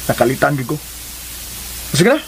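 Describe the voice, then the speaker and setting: An actor playing a persistent, generous young suitor with a Cebuano accent in a radio drama, talking in a casual, coaxing way. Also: male voice, fairly high pitch, big pitch swings, moderate pace. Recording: hissy recording, faint crackle.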